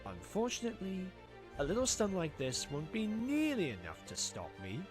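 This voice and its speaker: A woman playing a suave, menacing, possessive sorcerer villain in an animated fan drama, with a silky theatrical delivery. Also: on the low side, moderate pace. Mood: mocking, menacing. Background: music.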